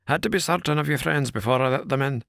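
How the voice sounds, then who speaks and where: A man voicing a gruff, burly former prize-fighter who keeps the door, blunt and rough-spoken. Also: mid-range voice, fast talker, a bit bright, dry recording, clean studio sound, voice pushed up. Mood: hearty. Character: gruff burly male ex-boxer doorkeeper.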